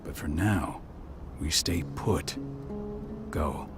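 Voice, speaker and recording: An actor playing a stern, resolute pack leader in a video game cutscene, speaking in terse commands. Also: male voice, a bass voice, drawn-out words, exaggerated voice. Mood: firm, commanding. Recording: loud music behind the voice.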